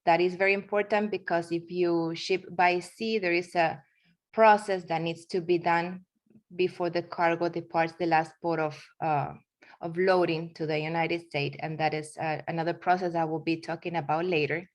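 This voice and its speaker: Argentine woman, low voice, halting talk. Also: fairly even intonation. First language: Spanish. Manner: halting, frequent fillers and restarts. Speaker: guest speaker. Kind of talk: webinar presentation.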